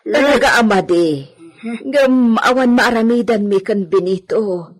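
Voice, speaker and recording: A woman's voice: on the high side, measured pace. An actress playing a chatty, concerned household helper woman in a village radio drama, speaking in a folksy, gossipy manner. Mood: worried gossip.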